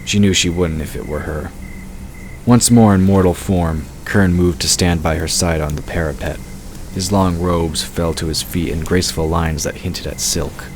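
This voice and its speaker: Male narrator for scripted fantasy audio drama, deep register, slight background noise. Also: steady tone, normal speed, darkish tone.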